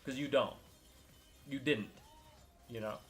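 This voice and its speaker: A young man from Miami talks casually on his show with a voice of middling pitch. He rambles freely, full of filler words and restarts as he tells a personal story. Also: takes it slowly, fairly bright tone. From Miami, United States. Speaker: co-host. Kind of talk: casual chat.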